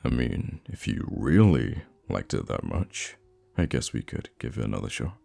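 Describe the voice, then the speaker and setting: Man voicing a very deep, gravelly theatrical supervillain. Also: sing-song, moderate pace, darkish tone, faint music behind, full character voice. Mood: indignant, distracted. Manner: dramatic, flustered, teasing, stammering when thrown off. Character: theatrical male supervillain fond of his hero nemesis. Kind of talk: ASMR roleplay monologue.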